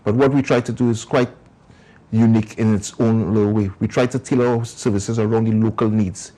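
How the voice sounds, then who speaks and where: Trinidadian man with a husky, mellow voice. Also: fairly low pitch, steady tone, fairly quick, poor audio. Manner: earnest, explains at length. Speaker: community organization founder. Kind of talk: TV interview guest.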